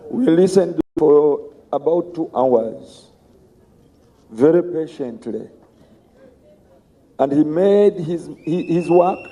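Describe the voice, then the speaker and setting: This is a middle-aged man with a hoarse voice. He gives a campaign speech to party delegates, slow and weighty. His tone is very dark and low in brightness, though his pitch sits fairly high for a man. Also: poor audio. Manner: slow, emphatic orator. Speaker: candidate for party office.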